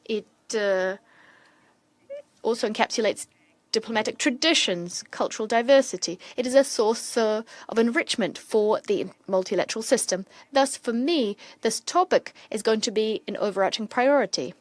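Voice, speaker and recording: Steady woman interpreter, dry and close. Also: a little high, no room sound. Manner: steady, measured, in quick bursts between pauses. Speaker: simultaneous interpreter. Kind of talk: simultaneous interpretation of formal speeches.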